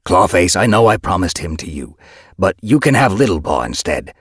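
Man as a husky, commanding male cat leader. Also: rumbling low, lively intonation, dry recording, clean studio sound, exaggerated voice. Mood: brisk command. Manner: measured, declaiming, brisk.

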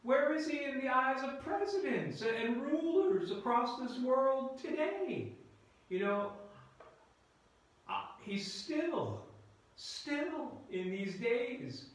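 A pastor, a man in his fifties, preaching a sermon in a halting, deliberate way with repeated words and pauses, inside a church. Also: very high voice, very animated. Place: indoors in a church at the front by a screen. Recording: very echoey church room.

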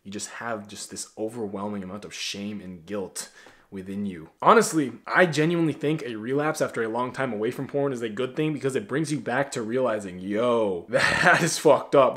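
A man in his twenties giving blunt, profane self-improvement advice straight to camera in a small bedroom, often swinging from loud to quiet. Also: fairly low pitch. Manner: blunt, profane, animated with big swings in loudness. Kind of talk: direct-to-camera advice vlog.

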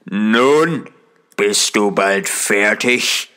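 A man voicing a menacing, commanding, murderous husband in a fairy tale, speaking slowly and threateningly. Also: on the low side, bright, forceful tone, clean tone, heavily acted. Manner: slow, threatening, angry.

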